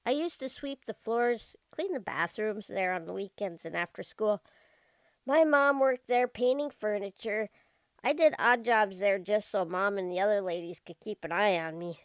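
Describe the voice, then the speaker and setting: A woman's voice: mid-range voice, normal speed, clean tone, no room sound, lightly acted. Radio drama role: cagey young street girl of eleven, played by a woman, out to hustle a dime, very dry sound. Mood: cagey, bargaining. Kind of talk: old-time radio detective drama acting.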